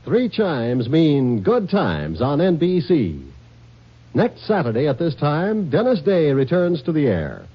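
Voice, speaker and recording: Lively husky American radio announcer. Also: male voice, a little high, takes it slowly. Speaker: network promo announcer. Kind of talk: scripted 1950s radio promotion. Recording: dull old radio recording.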